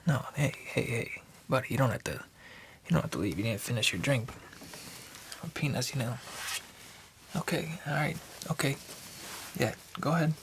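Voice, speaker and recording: A man playing a chatty, nervous bartender in an ASMR noir roleplay, speaking softly and often in whispers with tough-guy slang. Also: mid-range voice, animated, moderate pace, creaky, a little hiss or hum.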